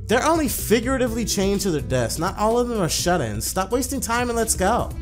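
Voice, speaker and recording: Brash young female pro gamer for a game played by a man: raised pitch, blunt, bossy, sarcastic, music underneath. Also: very animated, fairly quick. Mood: dismissive.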